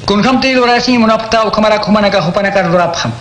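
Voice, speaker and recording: A calm preacher teaching. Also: male voice, high-pitched, fast talker. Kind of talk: radio drama acting. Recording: echoey room, faint noise.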